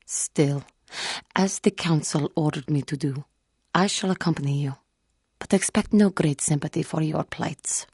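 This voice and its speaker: A woman playing a wounded, earnest female alien Jedi in a video game, speaking in formal, measured phrases. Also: low voice, a little mellow, breathy edge, dry recording, clean studio sound, exaggerated voice. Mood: reproachful. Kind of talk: scripted video game character dialogue.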